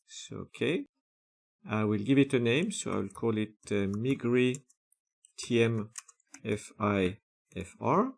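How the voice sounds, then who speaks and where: A man walks through a software task one click at a time, naming each step before he does it. His voice has a gravelly, creaky edge and sits at an everyday middle pitch. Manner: methodical, narrating each step with frequent "uh" fillers. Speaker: software tutorial presenter. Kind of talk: screen-recorded software walkthrough.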